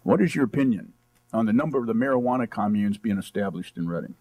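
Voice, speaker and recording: A man's voice: lowish voice, expressive, fast, mellow, dry recording. A man acting as debate moderator, putting questions to candidates in a conversational way.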